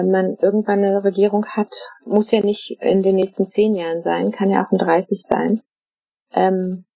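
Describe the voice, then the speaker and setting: A middle-aged woman from Germany, answering interview questions in a casual, thoughtful way, with many fillers and pauses. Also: medium pitch, creaky, warm tone, slightly rough, no echo. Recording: dull, dry lo-fi recording.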